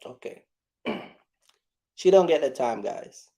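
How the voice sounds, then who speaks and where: A man hosting a casual live question-and-answer chat, speaking in a halting, repetitive way and often addressing his audience directly. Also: medium pitch, wildly swooping pitch, mellow. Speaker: livestream host.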